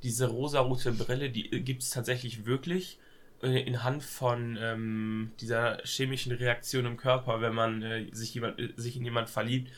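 A man's voice: medium pitch, level tone, normal speed. A young man with a cold, chatting casually as podcast co-host, hesitant with lots of fillers and youthful slang. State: has a cold. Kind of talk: casual chat.

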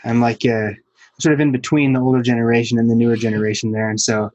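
A man's voice: medium pitch, level tone, quick pace, darkish tone, dry recording, lo-fi sound. A man interviewing a guest, asking reflective, musing questions. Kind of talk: conversational interview.

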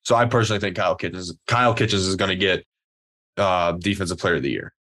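Low-voiced man, relaxed. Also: quick pace. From Louisiana. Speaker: podcast co-host. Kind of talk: casual sports chat.

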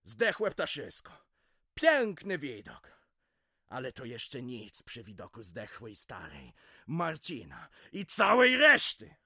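Groźny, rozchwiany wiejski awanturnik. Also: male voice, mid-range voice, huge pitch swings, takes it slowly, slightly rough, no room sound, studio quality, exaggerated voice. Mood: morbid gloating. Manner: sneering, threatening, wildly swinging from growl to yell. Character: violent, menacing village drunkard and abusive father. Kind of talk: radio drama acting.

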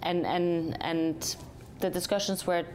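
A woman's voice: on the low side, steady tone, takes it slowly, a little mellow, slight background noise. A woman from Norway, a company chief executive, answering interview questions thoughtfully with many hesitations and restarts.

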